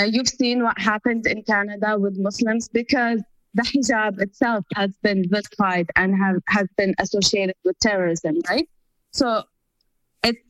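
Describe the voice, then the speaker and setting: A woman's voice: mid-range voice, fairly bright tone, no room sound, low-quality recording. A woman of Palestinian family background, answering interview questions in an earnest, explanatory way with many fillers. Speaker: guest.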